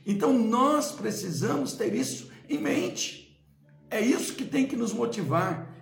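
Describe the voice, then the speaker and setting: A man's voice: very high voice, animated, a little slow, gravelly, roomy sound. A male pastor preaching a sermon with emphatic, repeated exhortations.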